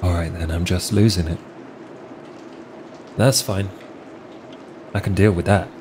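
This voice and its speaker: A man's voice: low voice, fairly even intonation, a little slow, noisy background. A man playing in a tabletop roleplay podcast, improvising casually in an offhand, understated way.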